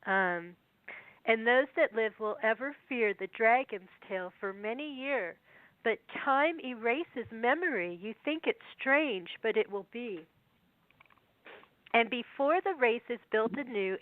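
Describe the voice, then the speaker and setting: Brief: higher-pitched woman, under a cold, slow deliberate verse reading, tinny phone call, dry. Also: no echo. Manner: slow, measured verse reading, chatty asides. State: has a cold, voice going. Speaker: guest researcher. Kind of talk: phone interview, reading prophecy verses aloud.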